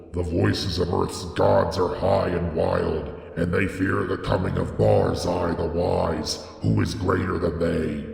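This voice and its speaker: An arrogant, ecstatic prophet from a gothic horror audiobook, acted out by a man, cries out grandly and loudly. His slow, gravelly declamation rings through a deep, echoing reverb. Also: exaggerated voice. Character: Barzai, arrogant old prophet crying out in mad ecstasy. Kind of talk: dramatic gothic horror storytelling. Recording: echoey hall with long reverb.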